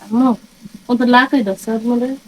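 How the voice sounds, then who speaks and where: High-voiced woman narrating her cooking. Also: steady tone, a little fast. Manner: informal, colloquial, step-by-step. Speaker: home cook and vlogger. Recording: noisy ambient background.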